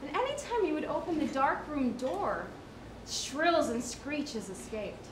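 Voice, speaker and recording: Roomy, noisy live recording of a female reader, slightly high voice, performing a story dramatically. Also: very animated, a little slow. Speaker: drama student or college staff reader. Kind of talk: dramatic reading of a short story. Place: indoor public venue reading.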